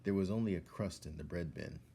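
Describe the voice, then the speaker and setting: A man reading a children's story aloud as a storyteller, expressively and with dramatic pauses. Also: deep voice, creaky, mellow, slight background noise. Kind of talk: narrated story read-aloud.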